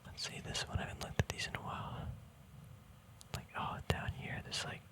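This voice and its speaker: A man whispering casual ASMR to viewers in a breathy, unvoiced voice, rambling and thinking aloud without hurry, very close to the mic. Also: fairly quick. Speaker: ASMR host. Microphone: mic very close to the mouth and nose.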